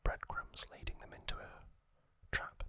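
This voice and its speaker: A man whispering an ASMR trivia recap as host, hushed and unhurried, with hesitant pauses between short phrases.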